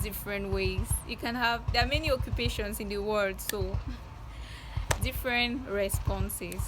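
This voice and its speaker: A young woman from Cameroon gives a beginner language lesson at a very unhurried pace, saying each example phrase slowly and deliberately. Her pitch sits in a middle range. Steady background noise with passing vehicles runs under her voice. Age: young adult. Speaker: French teacher.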